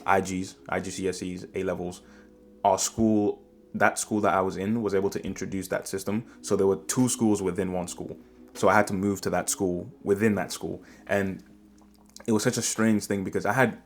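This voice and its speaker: A young man, talking earnestly in a reflective personal vlog and often rambling and restarting his sentences. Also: fairly low pitch. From Ghana. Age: 20s. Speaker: vlogger.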